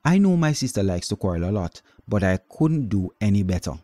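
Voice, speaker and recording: A man voicing a loving young mother with a gentle, reassuring manner in a storybook reading. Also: on the low side, big pitch swings, brisk, no echo.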